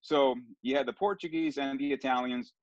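A man's voice: mid-range voice, moderate pace, no echo. A middle-aged man from Southern California tells his story in a casual interview, wandering and restarting as he goes. The sound is close and dry, with a slightly dull, muffled tone. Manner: rambling, with many restarts and fillers. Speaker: sports broadcaster guest.

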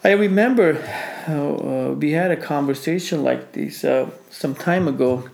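A man's voice: on the high side, measured pace, vocal fry, darkish tone. A man from Armenia, a non-native English speaker, talking on a podcast, hesitant and full of fillers as he searches for words. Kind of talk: casual conversational podcast.

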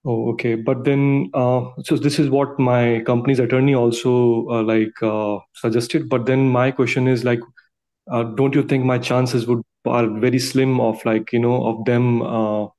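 A man from India calling in to ask for advice, speaking spontaneously in a hesitant, halting way. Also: mid-range voice, little pitch movement, darkish tone, low-quality recording. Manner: hesitant, halting, heavy use of "like". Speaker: caller seeking immigration advice. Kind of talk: spontaneous question on a conference call.

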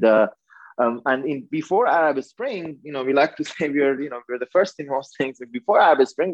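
A man's voice: medium pitch, dry recording, highs cut off. A man in his thirties from Iran, speaking as a guest author; thoughtful, with frequent light laughs. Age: 30s. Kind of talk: casual interview.

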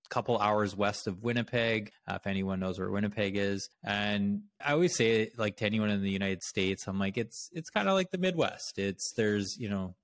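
Podcast host, male, Canadian accent, lowish pitch, informal hangout delivery. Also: normal speed, hardly any pauses. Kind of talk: casual conversational podcast talk.

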